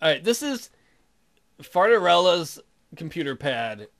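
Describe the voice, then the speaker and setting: A man's voice: fairly high pitch, very animated, a little slow, fairly bright tone. A man hosting a let's play, reading game text aloud and tossing in irreverent, jokey ad-libs.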